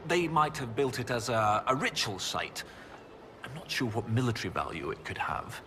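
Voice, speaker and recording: An actor playing an enthusiastic research professor in a video game, explaining things in a pedantic, eager way. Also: male voice, medium pitch, sing-song, normal speed, pushed and bright, slight background noise. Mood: absorbed, explanatory. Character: enthusiastic, bookish male research professor and archaeologist. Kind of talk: video game dialogue acting.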